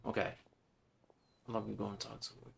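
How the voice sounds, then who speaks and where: A man's voice: lowish voice, flat delivery, moderate pace, creaky, slight background noise. A man streaming a video game live with casual, offhand commentary. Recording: game music behind voice. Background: video game music.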